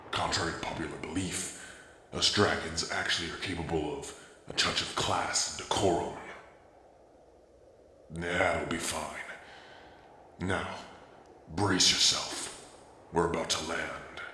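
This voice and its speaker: A man's voice: a bass voice, steady tone, unhurried, long pauses, bright, forceful tone, roomy sound, a little hiss or hum, exaggerated voice. A man voicing a brash young male dragon prince, a future king who is cocky, teasing and protective, in an ASMR roleplay.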